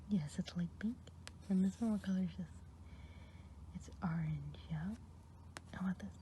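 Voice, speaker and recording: A woman voicing a kindly good witch in an ASMR roleplay, whispering softly with patient, encouraging praise. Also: fairly low pitch, normal speed, a little hiss or hum, lightly acted. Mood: gentle.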